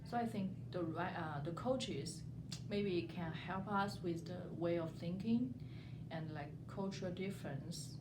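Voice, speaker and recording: A young woman from southern China with a Chinese accent, a non-native speaker giving informal interview answers as a student, haltingly and repeating words as she searches for phrasing. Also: fairly low pitch. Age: young adult. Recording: echoey room, noticeable background noise.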